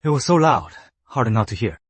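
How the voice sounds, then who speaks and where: A gentle young father. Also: medium pitch, lively intonation, normal speed, slightly rough, no room sound.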